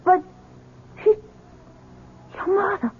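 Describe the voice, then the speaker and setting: An actress playing a frightened, indignant young bride, shrill and aghast, in radio drama style. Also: female voice, slow. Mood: horrified disbelief. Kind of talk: 1970s radio drama acting. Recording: tinny, phone-like old radio recording.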